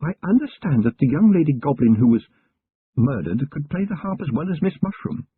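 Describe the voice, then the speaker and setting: A man reading aloud and voicing a refined, gently commanding aristocratic wife in a husky, raised voice. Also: on the high side, big pitch swings, fairly quick, no room sound, voice pushed up. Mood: quiet. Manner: poised, gently bossy. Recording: thin phone-like sound.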